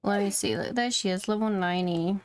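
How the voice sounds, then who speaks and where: A woman chatting casually on a gaming livestream, making relaxed, rambling asides. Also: mid-range voice, brisk. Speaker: live game streamer.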